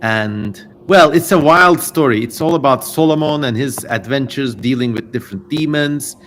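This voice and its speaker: A male livestream host speaks in a medium-pitched voice over noticeable background noise. He reads aloud with theatrical flair and drops in casual, rambling commentary. The audio has the feel of an amateur streaming microphone. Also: moderate pace, fairly bright tone. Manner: digressive, informal asides amid theatrical reading. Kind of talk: casual livestream chat with dramatic reading and running commentary.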